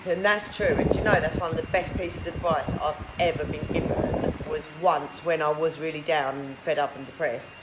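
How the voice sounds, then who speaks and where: A tired woman with a husky voice, chatting casually on a live stream and answering viewer comments. Her throat is huskier than usual, and she rambles, filling her talk with "like". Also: deep voice, steady tone, moderate pace, hardly any pauses. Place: indoors in a hot treatment clinic room. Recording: echoey room with loud background noise.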